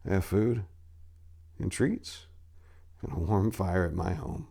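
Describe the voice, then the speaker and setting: A man playing a soft-spoken, kindly old man. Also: deep voice, takes it slowly, creaky, breathy edge, heavily acted. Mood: tender, pained. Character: kindly hobbling old man comforting a little girl. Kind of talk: dramatic fantasy horror story reading.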